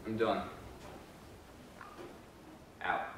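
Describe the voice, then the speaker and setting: A man on stage playing a weary, defeated circus performer in a readers theater drama. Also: extremely slow. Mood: defeated, resigned. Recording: very echoey hall, distant, noisy.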